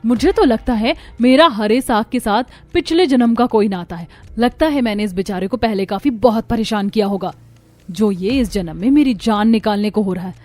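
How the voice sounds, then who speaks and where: A woman's voice: on the high side, normal speed, a little mellow, no echo, lightly acted. Woman as a plaintive, scheming young daughter-in-law. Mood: exasperated.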